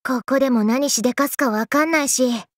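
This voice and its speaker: A woman's voice: high-pitched, brisk, dry recording, studio quality, lightly acted. An actress voicing a fun-loving, trendy young party girl in an anime game, exuberant and breezy with slangy speech. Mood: wry. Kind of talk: anime game character lines.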